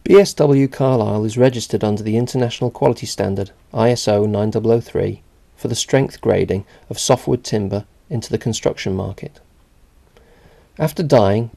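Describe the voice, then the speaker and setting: A man reading a scripted narration for a company video in an even, measured way. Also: on the low side, vocal fry.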